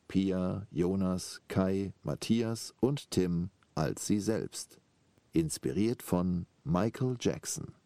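A man's voice: low-pitched, mellow, no room sound. A man reading out scripted credits as a podcast narrator.

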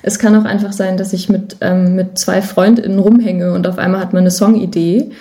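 Mellow woman chatting as interview guest. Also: medium pitch, level tone, brisk. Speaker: podcast guest, musician. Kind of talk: casual conversational interview answers.

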